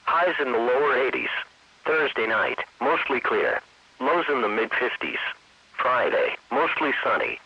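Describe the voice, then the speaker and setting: Husky synthetic male forecast reader. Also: medium pitch, expressive, a little slow, no room sound. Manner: formulaic, impersonal synthetic reading. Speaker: automated weather radio announcer. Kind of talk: scripted forecast narration. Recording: dull lo-fi weather radio stream.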